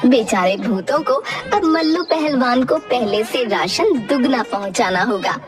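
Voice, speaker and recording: Casting: female cartoon-story narrator, highish animated voice, slightly distant with music underneath. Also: measured pace.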